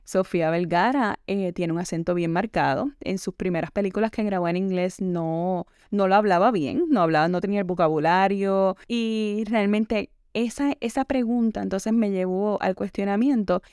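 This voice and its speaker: A woman's voice: mid-range voice, normal speed, few pauses, darkish tone, clean tone, dry recording, clean studio sound. A young adult woman hosting a podcast, talking through a reflective self-help monologue in a conversational way, leaning on the filler "entonces" and dropping in English words. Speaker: podcast host.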